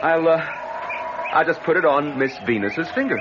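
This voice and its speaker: An actor playing a breezy young suitor in a radio drama, casual and teasing, stumbling over words when flustered. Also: male voice, fairly high pitch, expressive, normal speed, natural voice. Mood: teasing, fussing. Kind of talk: dramatic radio play acting. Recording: muffled, noisy radio drama recording.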